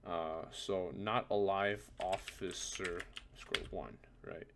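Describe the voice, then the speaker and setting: A man who is a non-native English speaker, presenting a casual, unscripted software tutorial in an informal, self-deprecating way with muttered asides. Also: sits low, fairly even intonation, slow, vocal fry, background noise. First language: not English.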